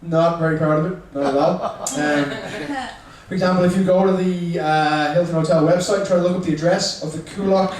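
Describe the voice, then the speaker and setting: A man's voice: fairly high pitch, steady tone, a little fast, darkish tone. Dublin-accented young man, echoey bar room. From Ireland. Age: young adult. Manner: chatty, self-mocking, teasing the crowd. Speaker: stand-up comedian. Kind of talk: stand-up comedy set. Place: small bar comedy room. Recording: echoey room, mic far off.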